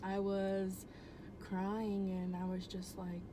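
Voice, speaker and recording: A woman's voice: medium pitch, completely flat delivery, slow. A young woman telling a personal story at home in a casual, rambling way, full of "um" and "like" and easy swearing. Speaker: vlogger. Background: air conditioner hum.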